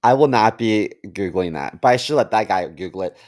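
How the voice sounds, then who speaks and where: A man telling stories haltingly in a casual, comedic podcast conversation. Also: fairly low pitch, lively intonation, a little mellow. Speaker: podcast host.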